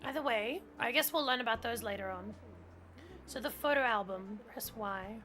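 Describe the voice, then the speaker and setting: German-native woman streamer, casual and rambling, reads prompts aloud, a bit high-pitched. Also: normal speed, fairly bright tone. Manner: chatty, heavy use of "like", reads on-screen tutorial text aloud. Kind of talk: casual gameplay stream commentary.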